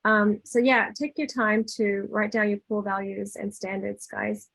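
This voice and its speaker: A woman's voice: medium pitch, fairly even intonation, measured pace, creaky, a bit bright. A woman giving a casual inspirational talk, conversational, telling anecdotes with acted-out dialogue and checking in with her listeners. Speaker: speaker leading a spiritual talk.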